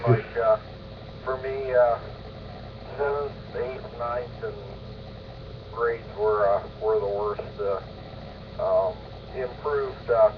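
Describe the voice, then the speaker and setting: A man in his fifties chatting and giving advice haltingly over a scratchy two-way ham radio. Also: mid-range voice, steady tone, muffled recording. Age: 50s. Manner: halting, with long hesitant pauses. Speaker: amateur radio operator. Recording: scratchy, noisy band-limited mobile radio.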